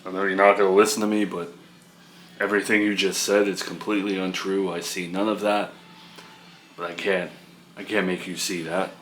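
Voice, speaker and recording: Man acting deep-voiced gentle, devoted partner. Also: little pitch movement, moderate pace, long pauses, faint music behind. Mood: coaxing, reassuring. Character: gentle, reassuring, devoted male partner of new mother.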